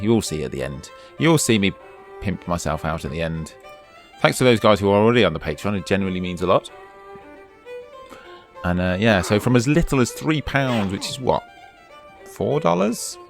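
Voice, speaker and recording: A very deep-voiced man talks his way through a point-and-click game, chatty and pushing his character along. His voice is getting hoarse. Soft music sits quietly behind him. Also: expressive, brisk. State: losing his voice. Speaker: let's play host. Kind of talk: casual gameplay commentary.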